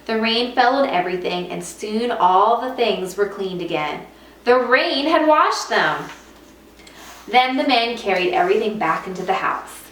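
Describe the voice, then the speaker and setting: Higher-pitched woman reading in an echoey room. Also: fairly bright tone. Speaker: art teacher reading a picture book to students. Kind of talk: read-aloud children's storytelling. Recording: roomy echo, distant mic, faint noise.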